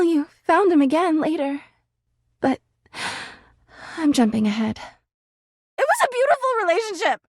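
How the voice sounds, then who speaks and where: A woman's voice: sits high, no room sound, studio quality, heavily acted. A woman voicing a clingy, jealous talking laundry hamper in a video game, gushing sweetly and flipping into bitter drama. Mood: wistful, confiding, then heartbroken, agitated. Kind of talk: video game character voice acting.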